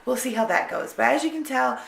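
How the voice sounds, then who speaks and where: Hoarse, raspy woman vlogger. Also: sits high, expressive, brisk, fairly bright tone. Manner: chatty, upbeat explaining. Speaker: family vlogger. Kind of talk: casual vlog introduction. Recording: echoey room with faint noise.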